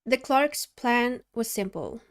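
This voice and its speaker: A woman's voice: a little high, lively intonation, slow pace, gravelly. A woman hosting a reaction video, casually and expressively commenting on a horror story and reading along.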